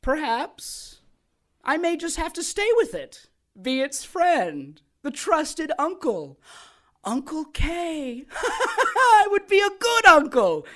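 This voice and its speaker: Woman playing a high-voiced, gloating sorcerer villain. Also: big pitch swings, slow, a bit bright, full character voice. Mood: gloating, scheming. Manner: theatrical, taunting, megalomaniac. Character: gloating, scheming immortal male sorcerer villain. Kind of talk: audio drama villain acting.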